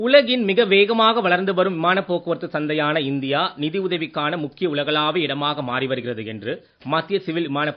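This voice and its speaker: A man's voice: fairly high pitch, dull audio. A man with an Indian Tamil accent reading a formal, scripted radio news bulletin as the newsreader.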